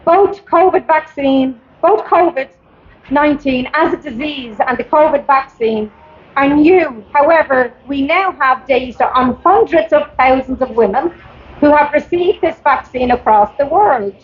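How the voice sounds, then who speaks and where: High-voiced Irish woman reading a speech. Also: expressive, a little slow. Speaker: campaigner giving a public address. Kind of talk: prepared speech read aloud at a protest. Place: outdoors at a public rally. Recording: dull, lo-fi speech recording.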